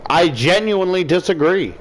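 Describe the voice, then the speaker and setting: A man's voice: high-pitched, lively intonation, moderate pace, rough recording. A man reading a visual novel aloud and adding joking, sweary commentary. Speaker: video creator reading a game aloud.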